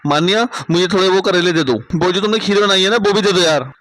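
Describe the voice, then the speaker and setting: A man's voice: high voice, quick pace, fairly bright tone, dry recording. A man playing a caring grown son in a cartoon moral story, speaking in an earnest, familiar way. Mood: complaining. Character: caring adult son of the household.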